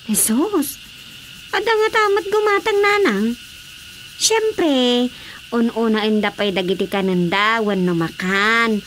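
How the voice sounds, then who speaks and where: Insistent daughter, Ilocano accent, high pitch, radio comedy drama, noisy track. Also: female voice, lively intonation, takes it slowly, pauses often, fairly bright tone. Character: practical, talkative daughter nudging her mother about selling.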